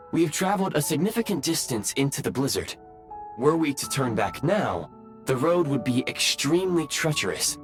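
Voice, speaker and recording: A man's voice: mid-range voice, lightly acted. A man voicing a polite, analytical, robot-like guide who speaks formally and precisely in a video game cutscene. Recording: prominent music behind.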